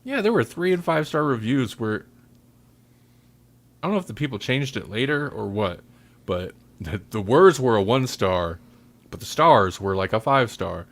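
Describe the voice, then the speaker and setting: A man's voice: medium pitch, big pitch swings, moderate pace, fairly bright tone. A man hosting a podcast, talking in a casual, rambling way with joking, self-deprecating asides. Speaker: podcast host.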